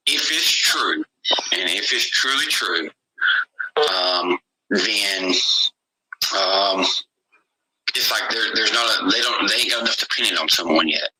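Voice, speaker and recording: A man calling in as a guest searcher, telling his account in a halting, repetitive way. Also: on the low side, animated, pushed and bright, poor audio. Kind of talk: casual call-in conversation.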